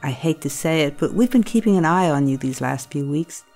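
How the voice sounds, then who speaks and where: A woman acting as a concerned, probing school staff member in an audio drama, with a measured, coaxing manner. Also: deep voice, animated, a little mellow, faint music behind. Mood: cautious, concerned.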